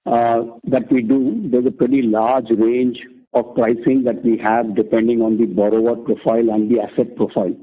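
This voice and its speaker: A man explaining as an executive on an earnings call, deliberate and halting, over a conference phone line. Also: medium pitch, flat delivery. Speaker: company executive answering analysts.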